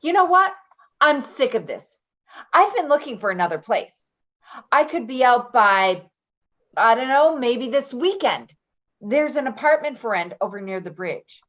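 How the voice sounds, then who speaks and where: A woman's voice: fairly high pitch, big pitch swings, unhurried, fairly bright tone, highs cut off, full character voice. A woman acting a tough, defensive ex-convict in a play reading, blunt and combative in manner. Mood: angry, defensive. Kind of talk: dramatic play reading, naturalistic dialogue.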